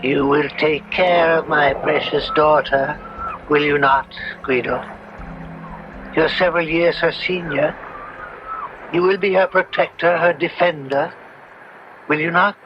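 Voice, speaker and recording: A man's voice: a little high, fairly even intonation, measured pace, long pauses, natural voice. An actor playing an earnest widowed nobleman and devoted father, imploring and insistent, in a 1970s radio drama. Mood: pleading. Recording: muffled 1970s radio broadcast with music.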